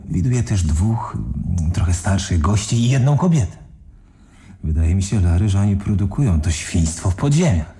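A gang lookout in a radio thriller. The voice is deep and dark, wary and measured, hesitating as he reports. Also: expressive. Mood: wary reporting. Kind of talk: radio crime drama acting.

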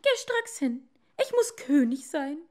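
Lady doing a pushy greedy fairytale wife, way up high, ordering her husband around. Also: big pitch swings, a little slow. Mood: demanding. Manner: imperious, demanding. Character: greedy, bossy fisherman's wife, impatient and shrill.

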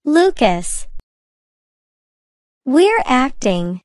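A woman voicing a sweet, dainty young girl in a scripted cartoon, with a deliberate, theatrical manner. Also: high voice, very animated, no echo, clean studio sound, full character voice. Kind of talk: slow scripted English-learning cartoon dialogue.

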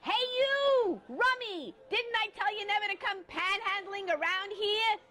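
A woman playing a tough, gruff bartender in a radio drama, slangy and bullying. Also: extremely high pitch, expressive, a little slow, sharp tone, heavily acted. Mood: angry, barking.

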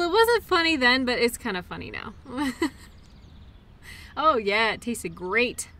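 Woman acting a bright, caring, playful girlfriend. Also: medium pitch. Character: tired, playful, caring girlfriend at a mud race. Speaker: roleplay audio performer. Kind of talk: intimate roleplay audio acting, one-sided conversation.

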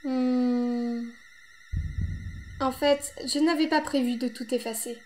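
Woman acting a high-pitched timid young boy. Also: fairly even intonation, takes it slowly, a little hiss or hum. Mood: dazed, hesitant. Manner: stammering, pleading. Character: timid, frightened young boy, pleading yet stubborn. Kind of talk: amateur comic dub acting. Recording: music behind.

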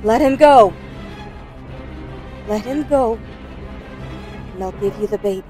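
Actress, young woman, desperate, resolute, slightly high voice, urgent delivery, music behind. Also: slow pace. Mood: desperate, firm. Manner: urgent, firm pleading. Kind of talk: comic book dub voice acting.

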